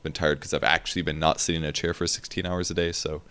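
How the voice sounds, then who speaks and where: A man's voice: very deep voice, quick pace, smooth. A tired young man rambling casually in a gaming vlog, talking straight into a desk mic with lots of fillers. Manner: rambling, relaxed, filler-heavy. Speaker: gaming video creator.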